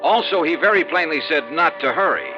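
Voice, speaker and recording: An American actor playing a brisk, wry, hardboiled insurance investigator in 1950s radio drama style, fee-minded and impatient. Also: male voice, a little high, lively intonation, over music, close to an everyday voice. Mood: dry, narrating. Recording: dull vintage radio recording, faint noise.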